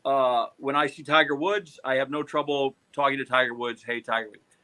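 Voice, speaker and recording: A middle-aged or older American man reminiscing casually, in a halting, rambling conversational way. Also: mid-range voice, fairly even intonation, pushed and bright.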